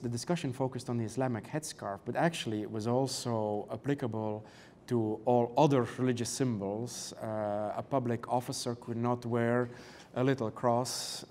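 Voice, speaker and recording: Male European-accented academic panelist, mid pitch, measured and full of "uh" fillers, faint background noise. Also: darkish tone. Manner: measured, many "uh" and "um" fillers, rhetorical questions. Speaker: panelist, canon law professor. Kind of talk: conference panel talk.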